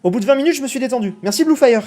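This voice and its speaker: A young man on a live stream reacts to his own old video, laughing at himself. his voice swings through a lively range, and he breaks into loud exclamations as he goes. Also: very high voice, very fast. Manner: animated, self-mocking, exclamatory.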